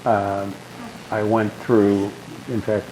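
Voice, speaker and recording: An older man with a low, growly bass voice, talking casually in a group and rambling through stories with many restarts. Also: level tone, slow pace, darkish tone, poor audio. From Southern California. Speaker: guest being asked questions.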